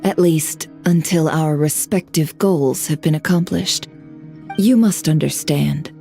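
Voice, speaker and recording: A woman's voice: low voice, vocal fry, warm tone. A woman voicing a sly, poised boss and information broker in a video game, silky, teasing and formal.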